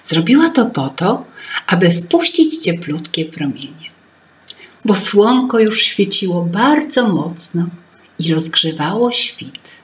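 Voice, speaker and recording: Slow, expressive woman storyteller, muffled recording. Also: on the low side. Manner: expressive, lingering, with whispered asides. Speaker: author reading her own fairy tale. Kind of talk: storybook narration for children. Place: small room at home with paintings. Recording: dull lo-fi home recording.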